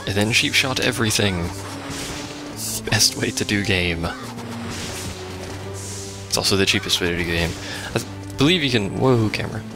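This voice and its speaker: A man with a low, creaky voice gives casual gameplay commentary. He sounds wry and sarcastic, with pitch that moves around a lot. Game music is clearly heard behind him. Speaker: let's play host.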